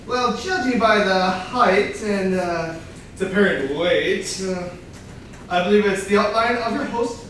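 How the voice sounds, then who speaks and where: A man acting a self-assured, inquisitive gentleman detective in a staged comedy reading. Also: high-pitched, a little slow. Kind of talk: theatrical comedy play reading. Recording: very echoey room, distant mic, faint noise.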